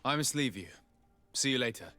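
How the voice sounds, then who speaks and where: An actor playing a sincere, reserved young noble diplomat in a video game, speaking politely and formally. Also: male voice, medium pitch, animated, fairly quick, sharp tone, soft background music. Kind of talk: video game dialogue acting.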